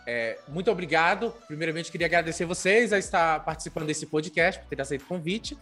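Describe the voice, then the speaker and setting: A man's voice: on the high side, quick pace, soft background music. A man hosting a podcast and putting questions to his guests with many fillers. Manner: steady, filler-heavy questioning. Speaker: podcast host. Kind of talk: podcast interview.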